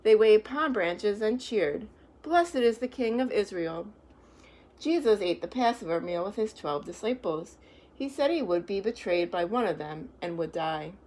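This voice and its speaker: A woman reading picture books aloud to young children, pausing to explain things in simple, reassuring words. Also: fairly low pitch, animated, darkish tone, a little hiss or hum, rough recording.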